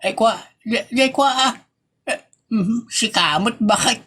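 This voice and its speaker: Man acting a flustered, husky-voiced comic husband. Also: very high-pitched, a little slow, heavily acted. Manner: stammering, excitable, full of exclamations. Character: hapless, pleading husband and father with a gambling habit. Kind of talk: comedy audio drama acting.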